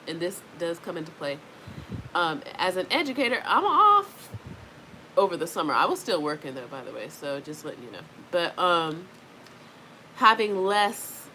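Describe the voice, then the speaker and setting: Slow, congested woman chatting at home. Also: fairly low pitch, bright, forceful tone, rough recording. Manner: rambling, chatty, frequent fillers. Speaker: wellness vlogger. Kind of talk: casual talking-to-camera vlog. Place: home with fans running. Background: faint fan noise.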